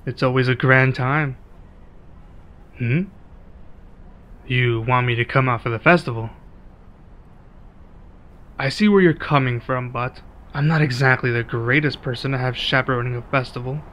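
A man acting a one-sided ASMR roleplay as a laid-back, friendly werewolf local, speaking casually and in a measured way with pauses. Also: medium pitch, steady tone, dull audio.

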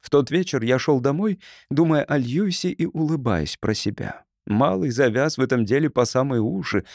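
A man's voice: medium pitch, expressive, moderate pace, vocal fry, darkish tone, no room sound, clean studio sound. A man narrating an audiobook, reading a first-person story expressively.

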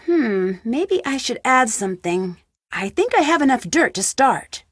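A woman's voice: sits high, very animated, a little slow, no echo, studio quality, exaggerated voice. An actress playing a curious, upbeat young woman detective in an adventure game, thinking aloud in short scripted remarks. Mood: curious, focused.

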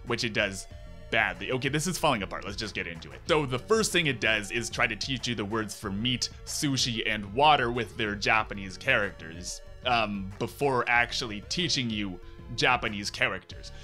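Sarcastic young man, very animated and bright. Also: on the low side, moderate pace, soft background music. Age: 20s. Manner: sarcastic, ranting, exasperated. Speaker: YouTube reviewer, host. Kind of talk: scripted comedic review commentary. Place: small room at home, indoors. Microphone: close desk microphone.

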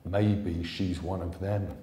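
A man voicing a big, wary thug in a story reading, blunt and rough-spoken. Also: low-pitched, fairly even intonation, slow pace, warm tone. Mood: uneasy. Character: big bull-necked male thug, gloomy, wary. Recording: roomy hall echo.

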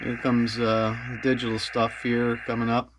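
A man's voice: mid-range voice, completely flat delivery, normal speed, noisy background. A man talking casually as an amateur radio operator and video host. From Canada. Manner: spells out call signs in phonetic alphabet. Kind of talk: casual narration and ham radio chat.

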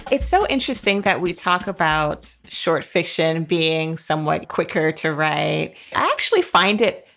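Creaky-voiced American woman, lowish pitch. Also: expressive, moderate pace, fairly bright tone. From Jacksonville, Florida, United States. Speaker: podcast co-host, author. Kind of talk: conversational podcast talk.

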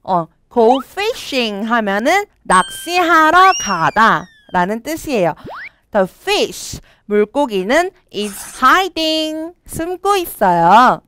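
A young woman teaching children English from a storybook, reading each line slowly and clearly and then explaining it. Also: a little high, sing-song, pushed and bright, slight background noise. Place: studio with a green screen.

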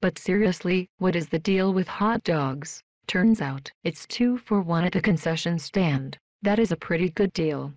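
A woman voicing a polite, emotionless female robot worker in a video game, with flat, stilted, deadpan delivery. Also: lowish voice, measured pace, few pauses, no room sound. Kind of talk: scripted video game voice lines.

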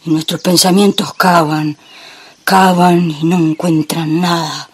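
A man's voice: on the high side, level tone, slow pace, heavy background noise. A man playing a brooding, melancholy husband in a poetic theatrical monologue. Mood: brooding, insistent. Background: music.

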